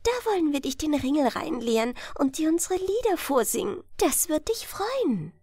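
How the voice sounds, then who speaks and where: Woman voicing high, gentle water nixie children. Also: very animated, normal speed, no room sound, studio quality. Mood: gently coaxing. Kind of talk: storybook narration.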